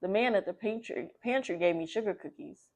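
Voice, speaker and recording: A woman's voice: medium pitch, a little fast, a little mellow, close to an everyday voice. A woman reading the part of an earnest, kindly little girl in a storybook. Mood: eager.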